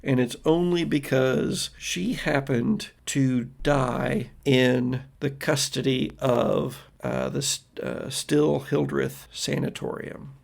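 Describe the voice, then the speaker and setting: A man with a gravelly voice narrating a tabletop role-playing game as game master, in an informal, halting, conversational way. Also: medium pitch, fairly even intonation. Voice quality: gravelly, creaky.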